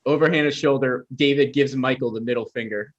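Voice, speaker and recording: A man serves as narrator, reading out scene directions from a script. His voice is of average pitch. The sound is somewhat dull and lo-fi. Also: steady tone, brisk. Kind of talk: scripted narration at a table read.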